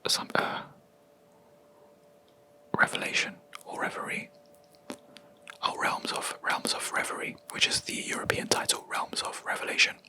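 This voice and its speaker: A young adult video creator, whispering a rambling, hesitant gaming chat close to the mic in a quiet room. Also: rough recording. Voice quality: soft unvoiced whisper throughout. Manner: rambling, hesitant, with many ums and self-corrections.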